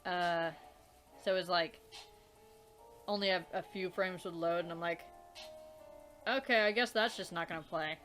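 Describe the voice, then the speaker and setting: A woman's voice: lowish voice, fairly even intonation, normal speed, pauses often, fairly bright tone, faint music behind. A woman hosting a let's play, reading the game's narration aloud and commenting with exasperated swearing and laughter.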